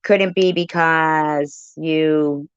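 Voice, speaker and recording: Low-pitched woman, sarcastic commentary, dull audio. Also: steady tone, very slow, clear, resonant tone, no room sound. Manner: accusatory, sarcastic. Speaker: commentary video host. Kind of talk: casual reaction commentary on a live stream clip.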